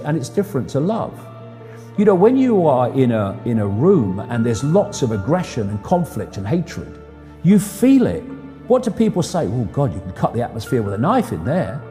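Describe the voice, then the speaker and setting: A man's voice: mid-range voice, mellow. A man giving an explanatory talk in a conversational way, repeating words for emphasis. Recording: long reverb, music underneath. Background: music.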